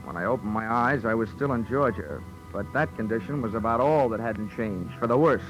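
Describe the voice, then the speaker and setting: An American actor playing a dry, deadpan, hard-boiled insurance investigator narrating his case in a 1940s radio drama. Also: fairly low pitch, fairly quick, lightly acted, rough recording. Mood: wry, tense narration. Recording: old 1940s radio recording, music behind.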